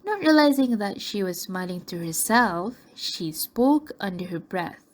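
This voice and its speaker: A woman narrator tells a scripted radio drama story. She takes her time over each phrase, in a medium-pitched voice. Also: animated, measured pace.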